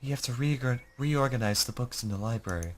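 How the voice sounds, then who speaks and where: A man voicing a friendly, earnest young woman from a visual novel, in a low, mannish voice. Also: brisk, natural voice. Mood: polite.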